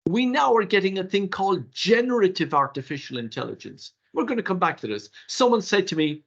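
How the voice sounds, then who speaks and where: Husky older Irish man giving an animated webinar lecture. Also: high voice, a little fast. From Ireland. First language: Irish Gaelic. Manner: animated, rhetorical, with theatrical asides. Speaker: workshop presenter. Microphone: video call. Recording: dull lo-fi webinar audio.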